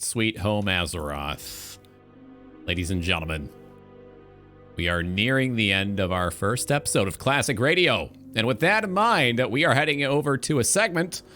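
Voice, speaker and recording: Faint music plays behind a young man with a mid-range voice. He hosts a casual livestream talk show in a radio style. His delivery has an announcer's showmanship, with deliberate, drawn-out phrasing. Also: medium pitch, bright, forceful tone. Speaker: talk show host, game streamer. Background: background music.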